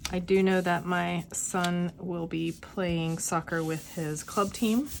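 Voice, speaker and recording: A middle-aged woman with a cold and a slightly hoarse voice, musing aloud in a casual plan-with-me vlog at home. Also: on the low side, fairly even intonation, a little hiss or hum, rough recording. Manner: unhurried, musing aloud while crafting. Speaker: planner vlogger. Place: quiet home.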